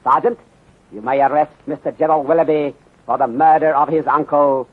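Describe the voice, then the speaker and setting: A calm detective inspector with a put-on Chinese accent, acted by a man, speaking in measured, formal, clipped sentences. His husky voice comes through a narrow, phone-like 1930s radio recording. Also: a little high, close to an everyday voice. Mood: calm, accusing.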